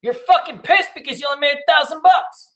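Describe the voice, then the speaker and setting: A middle-aged man teaching day trading in a casual, loud and blunt way, hooting and swearing as he talks over a screen share. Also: very high-pitched, a little fast. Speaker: trading educator.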